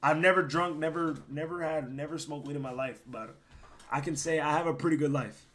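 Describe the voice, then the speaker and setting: Young man, hoarse, worn-out voice, bright edge, profane gaming chatter, loud outbursts. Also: a little high, steady tone, a little fast. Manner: profane, rambling, bursts into loud yelling. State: hoarse, losing his voice. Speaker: gaming streamer. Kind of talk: casual live gameplay commentary.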